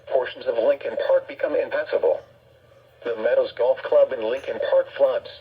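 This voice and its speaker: A man's voice: mid-range voice, normal speed, husky. Dull-sounding synthetic male weather radio announcer. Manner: flat, formal, synthetic delivery. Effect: played through weather radio speaker. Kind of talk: scripted emergency weather bulletin. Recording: dull radio speaker, faint background noise.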